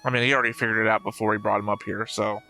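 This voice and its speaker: Bright-voiced man, nitpicking reaction commentary. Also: lowish voice, level tone, racing, soft background music. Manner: analytical, nitpicking. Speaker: reaction show host.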